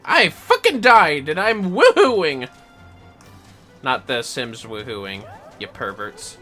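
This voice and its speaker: A man's voice: a little high, sing-song, takes it slowly, sharp tone, soft background music. A man giving casual, playful, sweary commentary on a gaming livestream, prone to exclamations.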